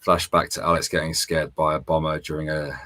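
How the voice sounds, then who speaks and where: A man's voice: rumbling low, fairly even intonation, normal speed, pushed and bright, slight background noise. A man, co-hosting a gaming stream in casual, sweary, joking banter. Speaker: co-host of a gaming radio stream.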